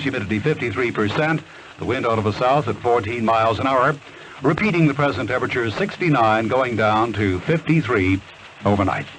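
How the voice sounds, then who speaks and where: A man's voice: mid-range voice, fairly bright tone. Male radio announcer, American, mid-range voice, scripted reads, slightly noisy 1970s AM recording. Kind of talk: scripted ad and weather reading.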